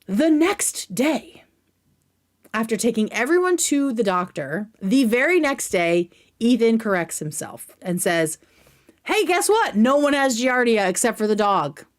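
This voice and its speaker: A woman's voice: on the high side, very animated, a little slow. A woman hosting a casual livestream commentary, speaking in a sarcastic, emphatic way with plenty of slang. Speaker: livestream host.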